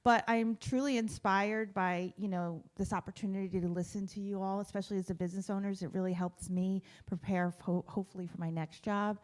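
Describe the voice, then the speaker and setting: A woman's voice: medium pitch, fairly even intonation, a little fast, few pauses, darkish tone. A woman in her forties, a lawyer and school board president, speaking earnestly to a panel. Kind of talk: casual remarks to a panel.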